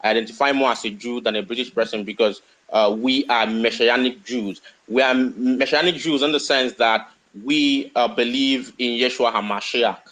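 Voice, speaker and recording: Man, rabbi, discussion guest, hesitant, many pauses, mid pitch. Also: fairly even intonation, a bit bright, low-quality recording. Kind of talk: live online discussion.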